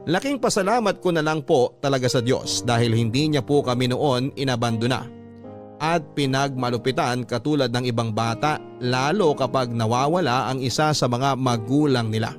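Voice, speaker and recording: A man's voice: medium pitch, normal speed. A man reading out a life story as a radio host, in dramatic scripted narration. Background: music under the voice.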